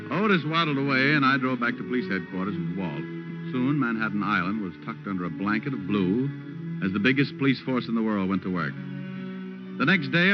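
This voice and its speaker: An actor playing a hard-boiled private detective with an American accent, narrating his case with wry, deadpan wisecracks in an old radio drama. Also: medium pitch, natural voice. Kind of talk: 1940s-50s radio detective drama acting. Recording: dull old radio recording with music.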